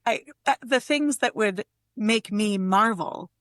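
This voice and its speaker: A woman's voice: medium pitch, takes it slowly, a bit bright, dry recording. Female guest poet, mid-range voice, dry intimate recording, conversational and reflective, lightly self-amused. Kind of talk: casual conversational interview.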